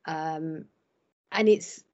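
A woman's voice: sits low, drawn-out words, a little mellow. A middle-aged woman whose first language was Cypriot Greek, chatting in a self-deprecating way with lots of fillers, recorded at home over a laptop webcam. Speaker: ordinand and hairdresser. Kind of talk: casual interview on a video call. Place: home room by a window. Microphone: laptop webcam on a video call. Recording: dull lo-fi video call audio.

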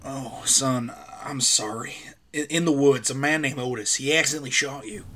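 A man's voice: mid-range voice, moderate pace, bright, forceful tone, a little hiss or hum, natural voice. A man playing an earnest, protective father in a survival comic dub drama. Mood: tender. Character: protective father, survivor of apocalypse, firm yet tender.

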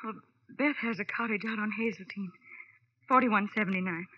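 A woman's voice: mid-range voice, moderate pace, breathy edge, close to an everyday voice. A young woman playing a naive, anxious nightclub performer in an old radio drama, with girlish phrasing. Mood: worried, puzzled. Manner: girlish, gee-whiz phrasing. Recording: muffled vintage radio recording.

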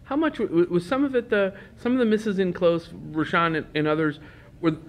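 High-voiced halting reporter. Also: male voice. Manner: halting, restarts a lot. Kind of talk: press conference questions.

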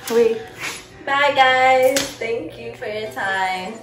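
A woman's voice: fairly high pitch, extremely slow, echoey room, loud music behind the voice. A woman, the vlogger's friend, talking in a playful, giggly, teasing way.

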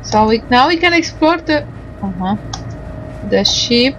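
A woman with a fairly high voice gives casual commentary on a game. She talks slowly and hesitantly, musing to herself, with some background noise on the recording. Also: expressive, fairly bright tone, lo-fi sound. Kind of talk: casual playthrough commentary, reading dialogue aloud.

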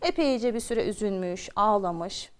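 A woman's voice: medium pitch, lively intonation, unhurried, clear, resonant tone. A woman telling a children's story expressively, repeating phrases for drama and addressing her young listeners directly.

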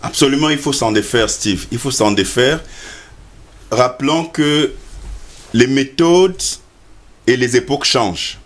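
A man's voice: mid-range voice, measured pace, long pauses. A man in his thirties speaking firmly as a guest in a TV studio debate. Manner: firm, declarative. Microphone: lapel mic.

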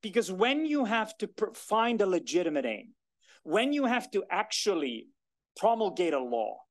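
A man in his thirties gives a formal keynote from a lectern, his voice sitting high for a man and swinging widely in pitch. He leans hard on key words and slows down to make a point. The sound carries across a mid-sized conference room. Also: sing-song. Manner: emphatic, deliberate, gesturing at a lectern. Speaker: keynote speaker. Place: conference room, medium size, indoors. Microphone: standing at a lectern, filmed by a far camera.

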